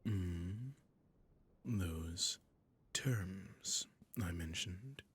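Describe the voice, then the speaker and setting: A man playing a suave, sadistic vampire lord in an intimate audio roleplay, with a breathy, courtly, teasing murmur. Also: drawn-out words, full character voice. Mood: seductive, admiring. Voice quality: low, breathy, gravelly.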